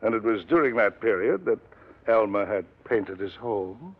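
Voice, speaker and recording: A self-important middle-aged businessman in an old radio drama. His voice sits a bit low and he explains things fussily, with evasive hesitations. The 1950s recording sounds dull and muffled. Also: animated, normal speed. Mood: insinuating, fussy.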